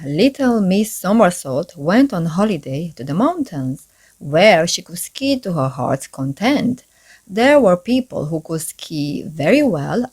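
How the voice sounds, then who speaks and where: Slow, expressive woman reading a children's storybook. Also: fairly low pitch, slightly rough. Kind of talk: read-aloud scripted storytelling for children.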